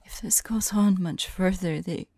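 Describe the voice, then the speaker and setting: A lovelorn woman mage, played by an ASMR voice actress, murmurs anguished journal entries in a dark, mellow voice. Her delivery is hushed, breathy and mournful, with a creaky edge, recorded very dry and close. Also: on the low side, no room sound. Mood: anguished, despairing. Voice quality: soft, breathy, husky. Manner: hushed, halting, mournful, intimate. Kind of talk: slow dramatic monologue, ASMR audio roleplay.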